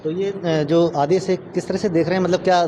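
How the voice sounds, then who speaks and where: A man's voice: sits high, fairly even intonation, fairly quick, mellow, a little hiss or hum. A man working as a field reporter, putting questions hesitantly and rephrasing as he goes. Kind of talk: street interview questioning.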